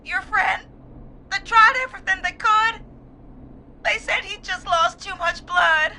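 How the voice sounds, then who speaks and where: A woman playing a grieving, shaken caller, tearful and halting, in dramatic game acting. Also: very high-pitched, animated, pushed and bright, a little hiss or hum, exaggerated voice. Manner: halting, fragile.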